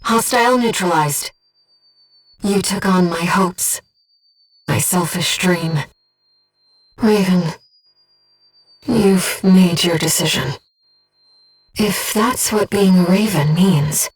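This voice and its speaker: An actress playing a gentle, devoted female voice in a pilot's head, a composed and precise mission guide in a video game. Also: fairly low pitch, slow pace, long pauses, breathy edge, distant mic, faint music behind, low-quality recording. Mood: earnest, pleading. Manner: composed, precise, earnest delivery. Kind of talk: video game voice acting, tactical briefing.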